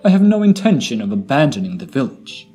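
A man's voice: mid-range voice, big pitch swings, fairly quick, mellow, husky, faint music behind. A man acting a proud, refined elven paladin. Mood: earnest, resolute. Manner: formal, articulate speech. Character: proud, refined male blood elf paladin, measured officer. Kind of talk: fantasy audio drama acting.

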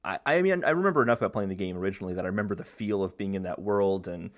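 A young man co-hosting a casual video game podcast, rambling and halting as he searches for words. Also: sits low, lo-fi sound. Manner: rambling, halting, self-deprecating. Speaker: podcast co-host. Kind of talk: casual chat about video games.

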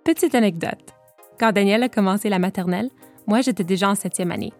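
A young woman from eastern Ontario, interviewing her younger brother with gentle, prompting questions. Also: medium pitch, fast talker, faint music behind. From Eastern Ontario, Canada. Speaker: interviewer, older sister. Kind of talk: casual interview.

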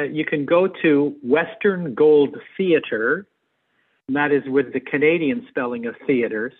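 A man talking as a guest in a conversational interview, on thin, phone-like call audio. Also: mid-range voice. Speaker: interview guest, theater adapter.

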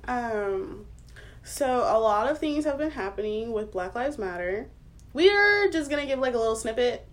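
A woman's voice: a little high, sing-song, moderate pace. A woman in her twenties co-hosting a casual chat podcast, talking with many fillers and frequent laughs. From United States. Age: 20s. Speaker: podcast co-host.

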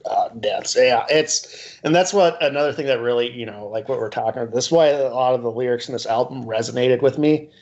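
Halting man in his forties, lo-fi. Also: medium pitch, a little mellow. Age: 40s. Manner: halting, lots of "like" and "you know". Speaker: podcast co-host. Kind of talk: casual podcast chat.

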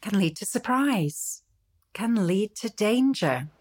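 A woman's voice: medium pitch, animated, slow pace, a little mellow. A woman speaking poetic lines in a radio play.

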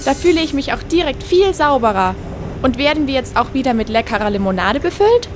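A woman playing a cheeky, curious little talking lemonade bottle in a children's radio play, expressive and questioning. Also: high voice, fairly quick, over music. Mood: delighted.

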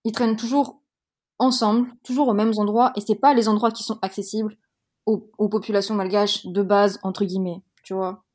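A young woman from Madagascar speaks in a mellow, warm tone. She talks frankly and with some heat, stopping and restarting often, full of fillers as she searches for words. Her pitch sits in a middle range. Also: level tone, normal speed. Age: young adult. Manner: hesitant, many restarts and fillers; heated, frank. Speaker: interviewee. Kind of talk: casual conversation.

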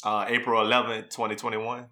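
A man's voice: fairly low pitch, little pitch movement, brisk, sharp tone. A man co-hosting a podcast, talking in a slangy, blunt, emphatic way as he gives casual commentary. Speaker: podcast co-host.

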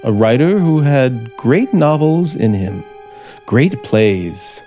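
An actor playing an embittered, desperate scriptwriter with a gun, slow and menacing in 1950s radio suspense style. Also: male voice, medium pitch, animated, warm tone. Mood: bitter, brooding. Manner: menacing, slow and deliberate, dramatic pauses. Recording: 1950s radio broadcast, music behind.

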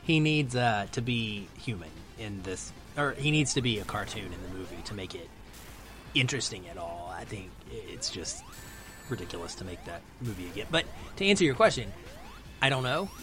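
A man's voice: lowish voice, expressive, normal speed, music in the background. A middle-aged man speaking as a podcast guest in a casual discussion about films.

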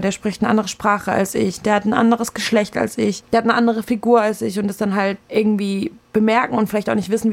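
A woman's voice: medium pitch, gravelly. A young woman presenting explanatory commentary in an earnest, colloquial way.

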